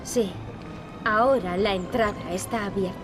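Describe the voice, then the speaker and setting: An actress with an accent from Spain playing a determined, earnest young princess with dry wit for a video game. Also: female voice, medium pitch, normal speed, lightly acted. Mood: grave, resolute. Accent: Spanish from Spain. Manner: earnest, resolute. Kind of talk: dubbed adventure game dialogue. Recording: music behind the voice.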